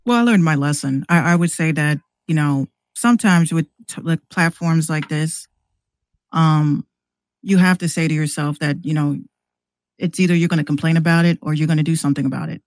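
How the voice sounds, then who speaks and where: A man's voice: fairly high pitch, fairly quick, no room sound. A man in a casual podcast chat, explaining things informally and often repeating words as he goes. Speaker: podcast guest who runs an online radio station.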